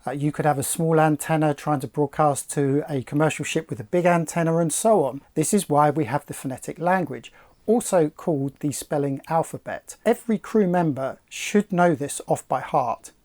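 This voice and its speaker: A middle-aged man presenting to camera, explaining things in a measured, articulate, slightly formal way. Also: on the high side, a little mellow. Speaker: sailing channel presenter.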